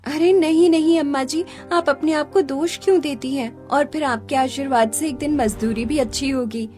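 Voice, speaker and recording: Cartoon role: poor, anxious young mother played by a woman, high voice, earnest and pleading, gentle with family. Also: normal speed, soft background music, lightly acted. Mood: gentle, soothing. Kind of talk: Hindi cartoon story dialogue.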